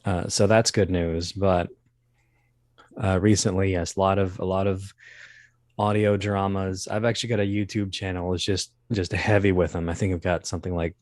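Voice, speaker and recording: A man with a lowish voice recorded dry and close, talking casually as a guest. He wanders through his anecdotes with wry, self-deprecating humor. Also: flat delivery, moderate pace, a little mellow, dry recording. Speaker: interview guest, voice actor and performer. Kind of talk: casual chat, telling anecdotes.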